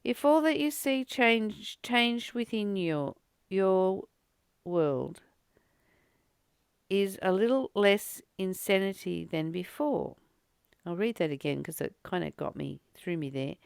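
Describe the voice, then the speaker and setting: A woman with a mid-pitched voice and a noticeable creak delivers a spiritual reading in the manner of a teacher. She is earnest and encouraging, repeating key phrases for weight. The sound is dry and close, with very little room in it. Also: slow pace, no room sound. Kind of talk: spiritual reading with personal, heartfelt commentary.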